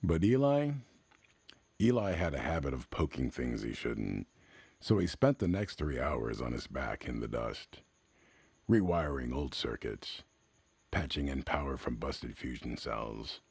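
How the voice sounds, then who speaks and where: A man narrating a scripted story in the manner of classic radio drama, somber and measured, with dramatic pauses between short phrases. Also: very animated, a little mellow, dry recording. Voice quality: very deep, gravelly, husky.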